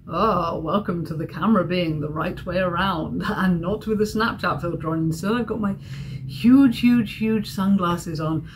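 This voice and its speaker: A woman's voice: lowish voice, moderate pace, slight background noise. A young woman vlogging from a hotel room, rambling and giggly, hungover and getting a sore throat. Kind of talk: casual vlog chat.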